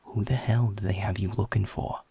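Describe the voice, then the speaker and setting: A feisty, cocky male werewolf played by a man in an intimate audio roleplay. His voice is low and very husky, thick with gravel. He talks bluntly and informally, with a teasing, flirtatious edge. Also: fairly even intonation, a little fast, mellow, exaggerated voice. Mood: irritated, demanding.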